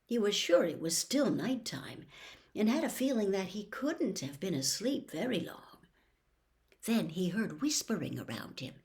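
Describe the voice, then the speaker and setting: A woman's voice: medium pitch, very animated, unhurried, darkish tone. A woman narrating a storybook expressively as an audiobook reader.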